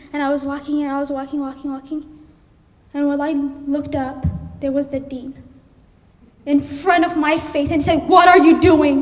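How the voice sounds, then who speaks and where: A young woman from Ethiopia telling a personal story on stage at a live story slam, animatedly acting out her own panicked thoughts. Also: sits high. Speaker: student storyteller. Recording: live stage with long hall reverb.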